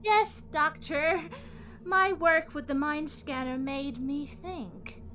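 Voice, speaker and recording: High-voiced, slow, gloating villainess. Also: female voice, bright, forceful tone, exaggerated voice. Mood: grandiose. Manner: mocking, melodramatic, drawn-out taunting delivery. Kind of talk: sci-fi fan audio drama acting.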